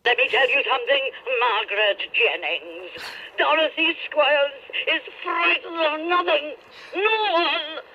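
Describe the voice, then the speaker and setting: An older actress playing an imperious, defiant aging singer in a radio play, speaking theatrically through a two-way radio filter. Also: female voice, high-pitched, animated, measured pace. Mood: furious, defiant. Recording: muffled crackly two-way radio.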